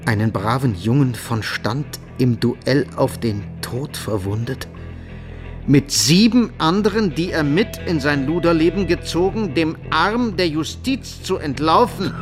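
An actor playing a scheming younger son in a German radio drama, theatrical, insinuating and falsely devout. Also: male voice, on the high side, lively intonation, measured pace, music in the background. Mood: ominous, insinuating. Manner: theatrical, insinuating, savoring sarcasm.

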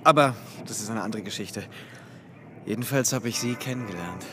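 An actor playing an eager, self-conscious young suitor in a radio drama, earnest and apologetic. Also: male voice, fairly low pitch, brisk, pushed and bright, slight background noise. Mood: bashful. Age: young adult.

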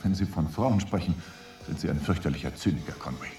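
A man's voice: sits low, a little fast, dark tone, reverberant, faint music behind, lightly acted. An actor playing a restless, suspicious young English vice-consul in his twenties in a German radio drama, questioning and opinionated. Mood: puzzled, disapproving. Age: 20s.

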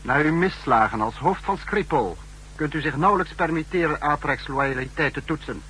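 An actor playing a stern, sceptical high-ranking leader in a 1960s radio drama, formal and authoritative. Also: male voice, normal speed, sharp tone, slight background noise. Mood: stern, cutting. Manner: formal, authoritative, clipped commands. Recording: muffled-edged vintage 1960s radio recording.

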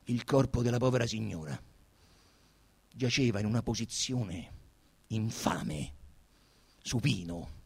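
A man narrating a literary text theatrically, with solemn, deliberate, dramatic phrasing. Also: mid-range voice, breathy edge. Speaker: narrator and actor.

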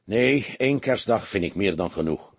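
A man's voice: lowish voice, expressive, moderate pace, creaky, slightly rough, dry recording, full character voice. A man voicing a weary police detective who talks in a deferential, understated and hesitant way, as in an audiobook. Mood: weary, calm. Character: world-weary police detective, feigning meek, sheepish humility. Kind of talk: audiobook character voice.

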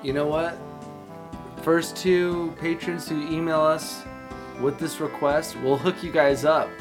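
A woman's voice: deep voice, level tone, takes it slowly, over music. A woman co-hosting a podcast, chatting in a laid-back, informal way. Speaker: podcast co-host. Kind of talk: casual podcast chat.

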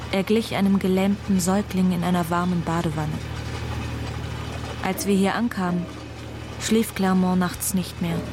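Music plays clearly behind a radio drama narration. An actress gives voice to a watchful daughter of school age. She tells her story in short, unadorned first-person sentences. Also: mid-range voice, natural voice. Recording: music under the voice.

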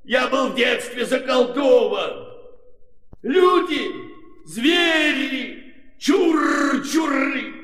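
A man playing a bewitched crow in a musical fairy-tale audio play, with drawn-out, pleading, declamatory rhymes. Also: extremely high pitch, lively intonation, fairly bright tone, shouting, full character voice. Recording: echoey hall reverb.

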